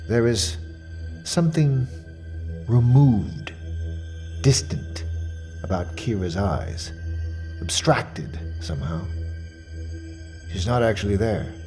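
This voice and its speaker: A man's voice: on the low side, a little mellow, exaggerated voice. Pompous, wildly swooping male mystery shopper. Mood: wounded, ominous. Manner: pompous, precise, deliberate, dwelling on words. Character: fussy, fixated male mystery shopper, self-important and quietly menacing. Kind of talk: dramatic monologue as a spoken questionnaire report.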